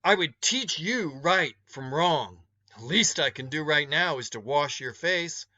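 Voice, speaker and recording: Male reader as a large stern woman, scolding, folksy, commanding, forceful bright tone, storybook read-aloud, slightly dull recording. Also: fairly high pitch, expressive, measured pace, no room sound, heavily acted. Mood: firm, scolding.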